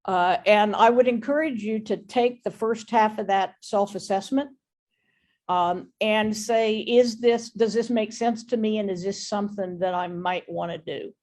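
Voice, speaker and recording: A woman with a mid-pitched voice talks frankly as a guest business expert. She states her opinions openly in a conversational style, speaking in an online meeting. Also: measured pace, darkish tone.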